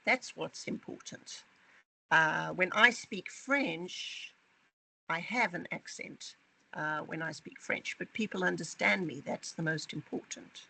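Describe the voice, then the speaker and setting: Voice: mid-range voice, slow, vocal fry, sharp tone, husky, rough recording. An interviewee from a language training company talking in a corporate video, emphatic and often repeating words while finding the phrasing.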